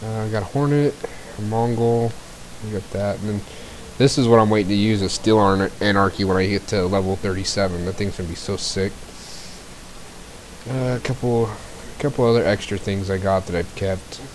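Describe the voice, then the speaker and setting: A man casually talking through his gameplay as a streamer, a little scratchy from a cold, rambling along with fillers and the odd throat clear into a cheap desk mic. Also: fairly low pitch, steady tone, normal speed. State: getting over a cold, a bit scratchy. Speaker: game streamer.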